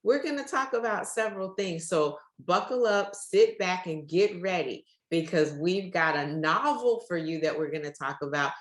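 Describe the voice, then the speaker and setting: Lower-voiced woman host, super enthusiastic faith podcast vibe, echoey room. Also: expressive, normal speed, few pauses. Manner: enthusiastic, preacherly.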